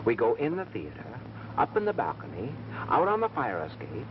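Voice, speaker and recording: An actor playing an earnest, inquisitive amateur sleuth who is easily exasperated, in a 1930s film acting style. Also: male voice, on the low side, wildly swooping pitch, normal speed. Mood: puzzled. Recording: noisy, dull 1930s film soundtrack.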